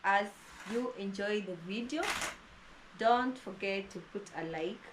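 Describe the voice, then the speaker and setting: A woman's voice: mid-range voice, measured pace. A woman hosting a casual interview with a fellow YouTuber, rambling along with plenty of fillers and restarts, recorded inside a house. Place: inside a house during heavy rain. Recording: noisy with rain outside.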